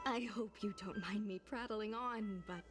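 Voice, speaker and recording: A woman's voice: medium pitch, unhurried, music in the background, close to an everyday voice. A woman playing a hospitable, chatty food vendor in a video game, polite and welcoming. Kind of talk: video game character acting.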